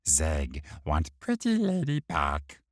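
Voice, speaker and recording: A man's voice: rumbling low, huge pitch swings, slow pace, no echo, studio quality, exaggerated voice. A man voicing a tiny, cheeky, stubborn imp in an audiobook, grumbling in broken, childlike grammar. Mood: indignant. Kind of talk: audiobook character voice.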